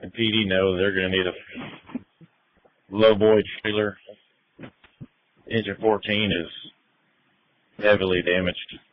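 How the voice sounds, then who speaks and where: A man's voice: fairly low pitch, flat delivery, slow, long pauses. A man working as a firefighter and incident commander, giving terse procedural calls over emergency radio. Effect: two-way radio. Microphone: radio handset. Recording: muffled narrowband fire department radio.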